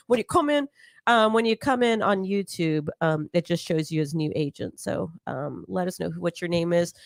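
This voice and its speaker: A woman's voice: on the low side, moderate pace, creaky, no room sound, studio quality. A woman in her thirties with a playful, giggly manner, chatting casually as host of a live talk. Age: 30s. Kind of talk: casual live chat with co-hosts.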